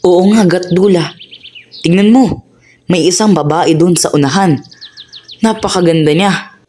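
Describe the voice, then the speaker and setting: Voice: mid-range voice, unhurried, slight background noise, pitched above the performer's own voice, natural voice. A student narrator voicing an eager young male companion in a radio play. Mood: excited. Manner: excited, pointing things out.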